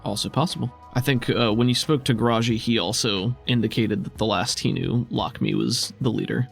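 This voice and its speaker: Game master, male, mid-pitched voice, improvised narration and rules talk, music underneath. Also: lively intonation, a little fast, vocal fry. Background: background music.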